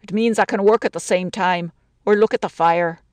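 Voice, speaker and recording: A woman reading a story aloud, voicing a shy, gentle man who speaks in short, soft replies. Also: medium pitch, steady tone, moderate pace, dry recording, pitched above the performer's own voice, lightly acted.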